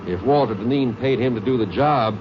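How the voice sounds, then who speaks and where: An actor playing an easygoing country sheriff with a drawling, folksy manner, in a 1950s radio drama. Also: male voice, medium pitch, fairly quick, close to an everyday voice. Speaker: small-town sheriff. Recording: dull old radio recording with hiss.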